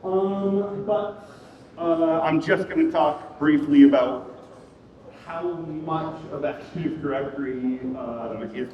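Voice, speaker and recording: A man's voice: fairly high pitch, takes it slowly. A man giving a casual, self-deprecating conference talk, with lots of ums and restarts, in a room at a wedding venue. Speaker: conference speaker. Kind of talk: casual rambling tech talk. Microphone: room mic heard from a distance. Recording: echoey hall, distant, muffled.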